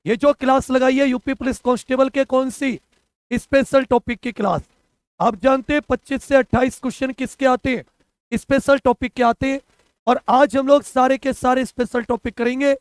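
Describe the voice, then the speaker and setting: A hoarse young man teaching a live online exam-prep class, repeating answers and quizzing his students. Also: very high voice, normal speed, dry recording. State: hoarse from a cough.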